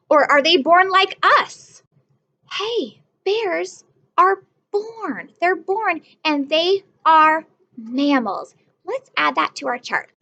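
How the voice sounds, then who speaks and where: A woman's voice: high-pitched, pushed and bright, highs cut off. A woman teacher reading a picture book aloud to young children, stopping often to ask them questions and praise them. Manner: encouraging, asks children questions.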